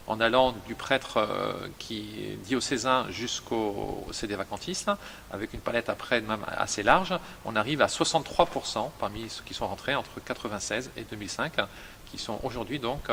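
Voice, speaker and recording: A man who directs a seminary, explaining its history and numbers in a measured, hesitant way with many fillers. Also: medium pitch, gravelly, pushed and bright, a little hiss or hum. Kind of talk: explanatory interview.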